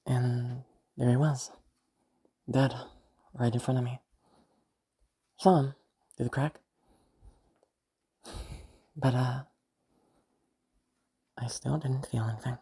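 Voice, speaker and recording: A woman playing a detached, eerily calm sociopath who recounts the past in a halting, musing monologue with a husky, creaky voice. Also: very deep voice, exaggerated voice. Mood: detached reminiscing. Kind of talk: first-person audio roleplay monologue.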